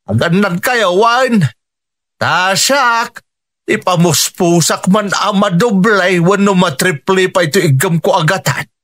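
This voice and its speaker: High-voiced, reckless Ilocano gambling husband. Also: male voice, slow pace, a bit bright, dry recording, studio quality. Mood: eager. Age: middle-aged. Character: reckless gambling farmer husband, hopeful, stubborn. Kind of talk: Ilocano radio drama acting.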